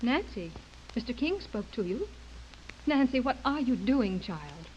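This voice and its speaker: An actress playing a polite, fussing middle-aged wife and mother in a radio drama, smoothing things over. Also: on the high side, sing-song, measured pace, a little mellow. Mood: scolding. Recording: dull lo-fi radio recording, faint noise.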